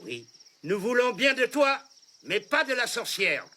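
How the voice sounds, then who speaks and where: A skeptical cartoon villager, high and forceful with lively intonation. He is stern, over faint outdoor noise. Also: unhurried, exaggerated voice. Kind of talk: animated film dialogue.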